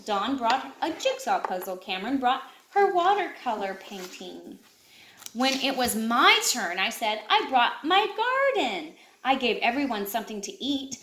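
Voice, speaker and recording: High-voiced woman reading a picture book. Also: slight background noise. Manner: expressive, lilting read-aloud delivery. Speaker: children's book reader. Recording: echoey room.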